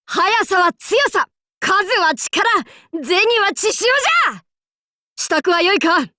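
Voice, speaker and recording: A very high, bright-edged voice plays a boisterous female demon king warlord for an anime game. She speaks slowly, in haughty old-fashioned lordly phrasing. Her delivery is bombastic and theatrical, made for cackles and battle cries. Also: dry recording, studio quality, full character voice. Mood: cocky, brash. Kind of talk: Japanese anime mobile game voice acting.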